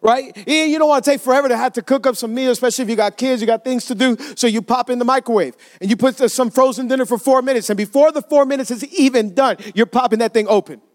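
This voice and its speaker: High-voiced pastor preaching to a congregation. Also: male voice, fast talker, hardly any pauses. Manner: conversational, asks the congregation for responses. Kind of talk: sermon. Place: church service.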